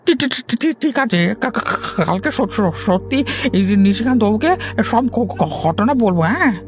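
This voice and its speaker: A woman voicing a frightened, cowardly village man in a cartoon, stammering and halting in panic. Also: a little high, lively intonation. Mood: pleading, fearful. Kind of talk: Bengali cartoon voice acting.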